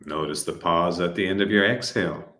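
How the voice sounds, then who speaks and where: A man calmly leading a guided breathing meditation, giving soft-spoken instructions with long silences between them. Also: deep voice, a little fast, reverberant.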